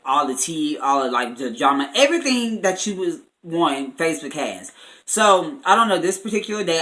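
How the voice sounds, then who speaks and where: A young American man chats to his online viewers in a bright, forward voice at an ordinary male pitch. He tells his story casually and with animation, using plenty of slang like a seasoned vlogger. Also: low-quality recording. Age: 20s. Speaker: YouTube vlogger.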